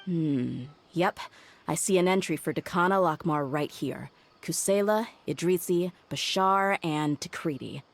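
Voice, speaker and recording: A woman voicing a tough young female mercenary in a game cutscene, steady and loyal, thoughtfully reasoning things out aloud. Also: on the low side, measured pace, gravelly.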